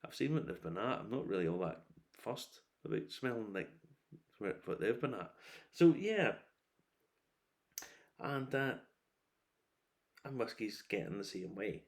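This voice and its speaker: A middle-aged man giving a casual, rambling review, opinionated and wry, breaking into animated asides. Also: fairly low pitch, unhurried, long pauses. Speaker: whiskey reviewer.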